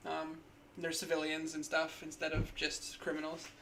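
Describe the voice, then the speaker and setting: A woman's voice: low-pitched, completely flat delivery, normal speed, fairly bright tone, slight background noise. A woman in her twenties co-hosting a casual podcast, rambling with lots of likes and ums. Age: 20s. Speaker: podcast co-host.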